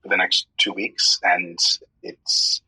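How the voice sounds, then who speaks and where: A man's voice: low-pitched, little pitch movement, bright, forceful tone, husky, exaggerated voice, rough recording. An actor playing a pining monk phoning a radio show, anxious and hesitant, stammering as he talks. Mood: flustered, yearning. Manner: halting, stammering. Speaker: caller. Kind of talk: scripted comedy radio call-in acting.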